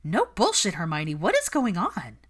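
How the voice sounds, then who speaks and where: A woman's voice: on the low side, wildly swooping pitch, moderate pace, husky, full character voice. A woman voicing a brash, teasing young witch who pries at her friend in a smug, dramatic way, in a story reading. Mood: incredulous, impatient.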